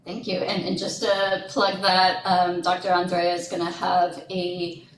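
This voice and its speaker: A woman moderates a panel over a video call, brief and courteous. Her voice is a little low and sounds far from the mic. The room is echoey, with faint noise underneath. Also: completely flat delivery, unhurried. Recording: roomy, distant call audio with faint noise.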